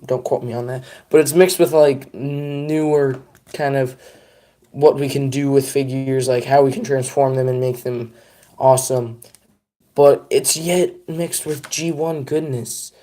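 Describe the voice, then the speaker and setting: A man's voice: mid-range voice, a little mellow. A young man chatting casually on a live stream, rambling and self-deprecating as he talks to his viewers.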